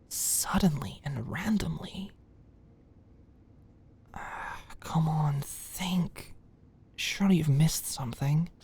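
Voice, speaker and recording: Woman acting an anxious, flustered young student, lively pitch. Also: gravelly, slightly rough, full character voice. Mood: muttering, worried. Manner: halting, stammering, flustered. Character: anxious, stressed young female medical student, flustered and oblivious. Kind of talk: ASMR audio roleplay.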